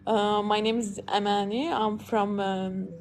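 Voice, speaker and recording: Hesitant Syrian woman with gravelly voice. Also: mid-range voice, steady tone. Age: 30s. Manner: hesitant, many fillers, halting English. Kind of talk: casual chat. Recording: faint background noise.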